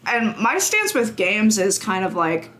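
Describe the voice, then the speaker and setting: A young woman talks casually as a podcast guest. Her voice sits at a middle pitch. She is informal and hedges as she works out her thoughts aloud. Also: big pitch swings, a little slow, a bit bright. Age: young adult. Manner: informal, hedging, thinking aloud. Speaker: podcast guest, games journalist. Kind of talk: casual interview chat.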